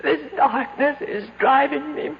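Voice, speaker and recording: An actress in a radio drama playing a fretful, desperate young woman trapped in a dark cave. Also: sits high, measured pace, vocal fry. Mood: agitated. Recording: muffled, noisy old radio recording.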